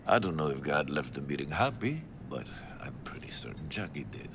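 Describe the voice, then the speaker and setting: Very deep, gravelly old priest. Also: male voice, very animated, full character voice. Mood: solemn. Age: older. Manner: measured, grave. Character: solemn, stern older male gang-connected priest. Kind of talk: video game acting. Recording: clear rumbling background noise.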